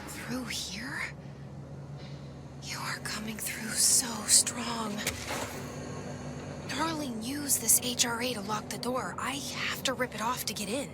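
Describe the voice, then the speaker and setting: An actress playing a determined, guarded young woman in a video game, speaking in a hushed, intimate inner-monologue style. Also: a little high, takes it slowly, long pauses, heavy background noise. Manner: hushed, intimate, often half-whispered.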